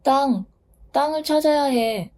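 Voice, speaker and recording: A woman voices a newly woken dandelion seed in a storybook, timid and childlike. The voice is small, raised and pleading, soft-toned. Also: high voice, slow, voice pushed up. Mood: anxious.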